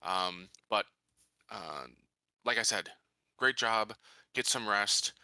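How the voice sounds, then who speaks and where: A man playing a calculating colony leader in a tabletop game, speaking in a deliberate, formal, halting way. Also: lowish voice, bright, forceful tone, no echo, studio quality, full character voice. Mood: approving. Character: measured, calculating male colony leader giving orders.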